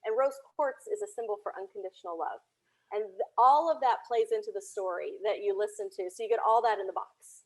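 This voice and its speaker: A woman's voice: mid-range voice, fairly quick, poor audio. A woman talking casually as an interview guest, explaining her work in a chatty way. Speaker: podcast guest, audio drama producer.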